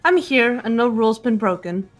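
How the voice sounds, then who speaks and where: Woman as a calm, highish-voiced teenage girl. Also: normal speed, faint music behind, close to an everyday voice. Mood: calm defiance. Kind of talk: fan-dubbed visual novel game acting.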